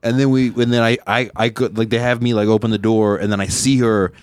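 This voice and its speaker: A young man with a deep voice, a comedian hosting a podcast in loose, self-deprecating chat. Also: little pitch movement, a little fast, a little mellow, dry recording, studio quality. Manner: rambling, self-deprecating, swears casually. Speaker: podcast host, stand-up comedian.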